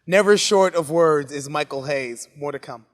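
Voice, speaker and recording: A man hosting interviews on a wrestling TV show, smoothly prompting his guests. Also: a little high, normal speed. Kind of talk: broadcast interview. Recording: long hall reverb.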